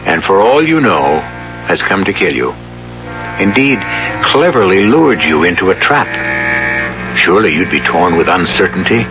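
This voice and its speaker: A male radio host delivers suspenseful narration in a low, very measured voice. His inflection is lively and theatrical. Loud music plays behind him on a muffled old radio recording. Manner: theatrical, measured, addressing the listener.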